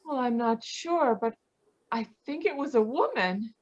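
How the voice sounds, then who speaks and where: A high, feminine voice plays the bereaved daughter of an old radio mystery as acted by a woman. She is polite and distraught, in the melodramatic manner of vintage radio. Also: lively intonation, measured pace, highs cut off. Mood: subdued, explaining. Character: distraught, polite grown daughter of murdered man. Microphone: video call.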